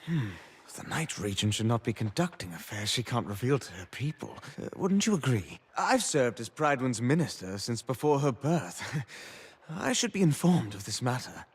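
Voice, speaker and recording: A man's voice: mid-range voice, very animated, normal speed, husky. A man voicing a scheming older royal minister in a fantasy video game, pompous, sly and condescending. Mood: sly, probing.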